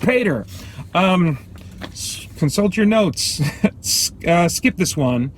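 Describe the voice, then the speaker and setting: American male vlog reviewer, slightly high voice, conversational and halting, light background noise. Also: expressive. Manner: halting, thinking aloud, self-interrupting. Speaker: movie reviewer and host. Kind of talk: casual off-the-cuff review vlog. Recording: clear background noise, ambient sound.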